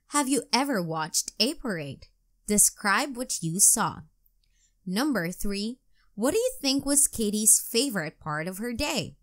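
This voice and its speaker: A woman narrating a children's storybook as a read-aloud, in a deliberate, clearly enunciated storytelling manner. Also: medium pitch.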